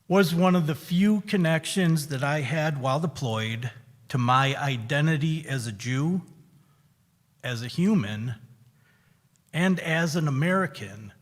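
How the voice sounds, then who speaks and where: Slow, measured man in a reverberant sanctuary. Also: on the high side. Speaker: veteran guest speaker. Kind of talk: personal reflection at a synagogue service. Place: synagogue sanctuary. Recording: reverberant hall.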